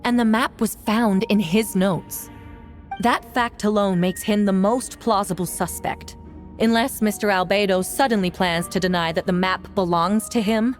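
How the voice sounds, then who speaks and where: A woman's voice: medium pitch, fairly even intonation, moderate pace, close to an everyday voice. A woman voicing a stern, formal prosecutor in a fantasy video game, pressing her case with pointed accusation. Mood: pointed, skeptical. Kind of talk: dramatic video game voice acting. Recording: music behind the voice.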